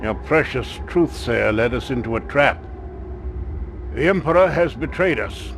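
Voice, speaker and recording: An actor playing a grave military commander in a video game cutscene, with a solemn, theatrical delivery. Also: male voice, mid-range voice, lively intonation, unhurried, a little hiss or hum. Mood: grim resolve. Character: grave military mentor and commander of House Atreides.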